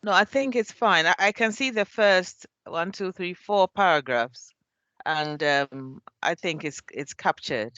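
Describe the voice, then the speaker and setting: A woman answers briefly and agreeably, with a little hesitation. Her voice is bright and a bit high. The call audio is dry and dull. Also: measured pace, dry recording. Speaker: meeting participant. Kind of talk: online roundtable discussion.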